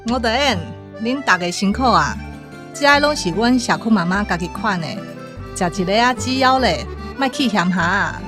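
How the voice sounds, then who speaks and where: A woman's voice: medium pitch, lively intonation, moderate pace, music in the background, natural voice. A woman playing a modest, hospitable community food host in a radio drama, homely and urging, with a Taiwanese accent.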